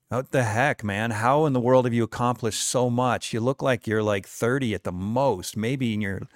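A man's voice: medium pitch, no echo, studio quality. A man in his forties hosting a podcast interview, talking in an effusive, enthusiastic way and laughing easily. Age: 40s. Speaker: podcast host.

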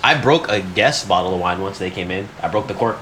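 A man's voice: low voice, lively intonation, fairly quick, a bit bright, a little hiss or hum. A man telling stories in casual podcast banter, slangy and profane, often acting out what people said. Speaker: podcast co-host.

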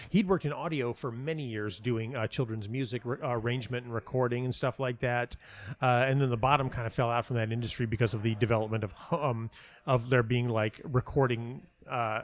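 A middle-aged man with a medium-pitched voice tells anecdotes in conversation as a guest. He stammers and doubles back on words, then plays out other people's lines as he quotes them. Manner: stammering, repeats words as he goes; animatedly acts out quoted dialogue. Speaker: interview guest, playwright and audio dramatist.

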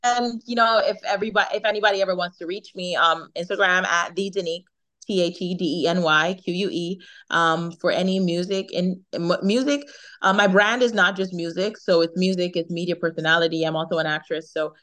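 Jamaican woman, lowish voice, chatty, laughs a lot, repeats herself, sounds like a video call. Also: fairly even intonation, a little fast, a bit bright. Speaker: singer, interview guest. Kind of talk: casual interview chat.

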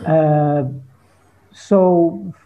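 A man's voice: on the high side, steady tone, extremely slow, phone-quality sound. A man being interviewed as a musician, talking casually and searching for his words.

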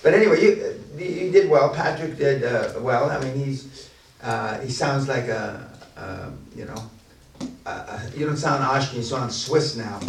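A man's voice: mid-range voice, measured pace, gravelly. A man running a business seminar in a blunt, boastful, profane way, often restarting his sentences. He is heard from a distance in a reverberant room, with faint hiss underneath. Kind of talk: unscripted seminar talk to a room. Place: large echoey room at a seminar venue. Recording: echoey room, distant mic.

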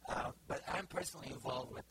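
Bulgarian-accented preacher, halting and hesitant. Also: male voice, mid-range voice, background noise. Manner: halting, many fillers and restarts. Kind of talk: informal sermon to a congregation.

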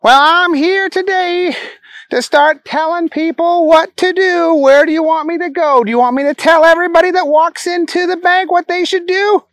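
A storyteller voicing a loud, silly, grubby young man who yells in a high, excitable voice with folksy whoops. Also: normal speed, no room sound. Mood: excited.

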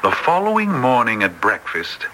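A man playing an earnest, excitable English gentleman doctor in classic radio drama style, with an English accent. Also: mid-range voice, normal speed. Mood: narrating, tense. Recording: noisy vintage radio recording.

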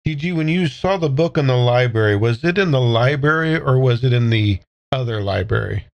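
A man's voice: medium pitch, lively intonation, a little fast, creaky, lo-fi sound. Man playing a tabletop horror game. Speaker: player in an actual play podcast. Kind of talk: casual tabletop game play, describing his character.

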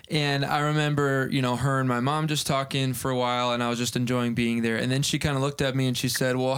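A man's voice: medium pitch, fairly bright tone, smooth. A man hosting a casual podcast interview, telling a personal story in a meandering way with lots of fillers. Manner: rambling storytelling with many fillers. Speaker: podcast host.

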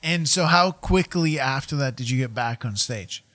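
A man's voice: medium pitch, animated, moderate pace, bright, forceful tone. A man in his thirties hosting a casual interview, asking short, curious follow-up questions. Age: 30s. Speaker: interview host.

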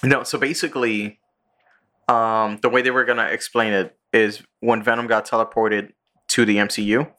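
Male podcast co-host, lowish bright voice, loose and rambling, swears a lot. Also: level tone, moderate pace. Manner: rambling, swearing, with many ums and likes, laughing. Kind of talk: casual chat about movie news.

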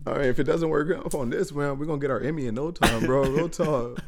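A man's voice: a little high, fast talker, darkish tone. A man co-hosting a podcast, talking in laid-back, slangy banter. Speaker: podcast co-host. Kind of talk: casual chat.

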